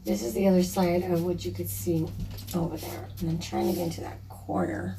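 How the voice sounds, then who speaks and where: A woman with a slightly hoarse, raspy voice, casually narrating a painting project in a chatty, apologetic way with lots of fillers. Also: lowish voice, steady tone, fairly quick, distant mic, background noise. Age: adult, a mother. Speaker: DIY vlogger.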